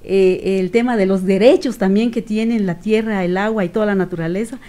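A middle-aged woman with a Guatemalan accent giving earnest, reflective interview answers in a measured way, with pauses. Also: mid-range voice, fairly even intonation, dark tone. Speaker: activist guest. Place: small radio studio with several people. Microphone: studio microphone on stand.

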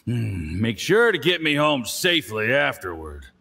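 Condescending boastful official for a video game; slow theatrical drawl, very animated pitch. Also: male voice, creaky, slight background noise, heavily acted. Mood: tipsy, merry. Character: smug condescending Fatui official, boastful drinker.

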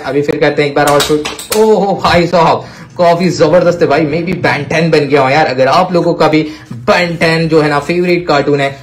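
A man hosting a toy showcase, talking to viewers with excitable, repetitive exclamations. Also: a little high. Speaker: toy review video host. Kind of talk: enthusiastic toy showcase commentary addressed to viewers. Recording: clear background noise with clicks.

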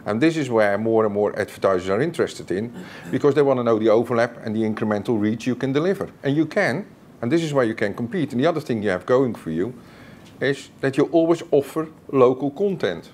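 A man's voice: medium pitch, lively intonation, fast talker, darkish tone, a little hiss or hum. Hesitant man explaining on a panel. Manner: hesitant, full of fillers, explanatory. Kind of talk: conference panel discussion. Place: conference stage, indoors.

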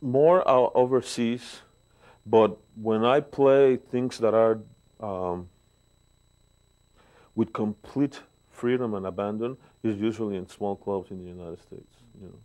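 A man in his sixties with a Mexican Spanish accent speaks in a very low, deep voice. He tells stories as an interview guest, pausing on fillers, seated in a living room with a lapel mic. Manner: warm, storytelling, pauses with fillers. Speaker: guest bass player. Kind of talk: relaxed interview talk.

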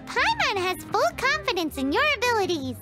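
A woman voicing a tiny, excitable fairy companion in a video game, childlike, cheeky and perky. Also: moderate pace, over loud music, full character voice. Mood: excited. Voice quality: squeaky, childlike. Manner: perky, speaks of herself in third person. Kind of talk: video game character acting.

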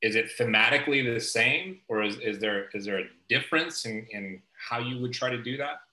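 A man's voice: mid-range voice, moderate pace, pushed and bright. A man hosting a podcast, asking probing questions and rephrasing them over a video call. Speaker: podcast host. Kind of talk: interview questions. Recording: echoey room.

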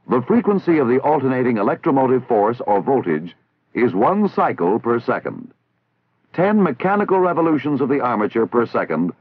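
Casting: American man for vintage army instructional narration, measured and didactic with animated intonation, through tinny old film audio. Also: medium pitch, breathy edge. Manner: formal, measured, didactic enunciation. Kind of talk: scripted instructional narration, 1960s military training film. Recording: tinny, band-limited 1960s film soundtrack.

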